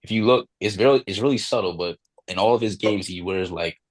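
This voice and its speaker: A man on a podcast talking casually in short, low-key remarks. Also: brisk. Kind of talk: casual chat.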